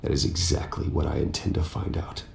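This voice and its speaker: An American actor playing a guarded, wealthy heir and secret vigilante in a video game, terse, controlled and serious. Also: male voice, fairly quick, darkish tone, a little hiss or hum, natural voice. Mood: angry, determined. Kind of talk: video game voice acting, cinematic dialogue. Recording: roomy game audio with music behind.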